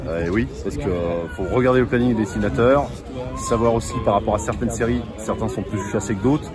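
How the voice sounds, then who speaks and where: A man with a lowish voice talks about collecting autographs, pausing often between phrases. A busy crowd murmurs behind him. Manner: hesitant, many filler sounds. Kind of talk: street interview.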